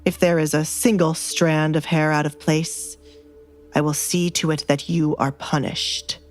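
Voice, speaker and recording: A woman voicing a stern, imperious male duke in an audio romance drama, speaking in a cold, measured, commanding way. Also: low-pitched, level tone, soft background music, pitched below the performer's own voice. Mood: menacing.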